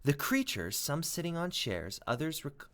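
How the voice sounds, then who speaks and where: A man reading aloud as a teacher, expressive and dramatic, with emphatic pauses. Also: fairly high pitch. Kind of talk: expressive storybook read-aloud and lesson.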